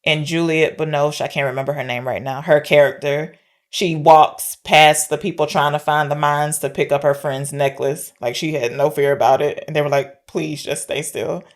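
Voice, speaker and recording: A woman's voice: low voice, steady tone, moderate pace. A woman co-hosting a podcast, casually retelling a film's story and thinking aloud as she goes. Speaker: podcast co-host. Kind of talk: casual film discussion, retelling the plot.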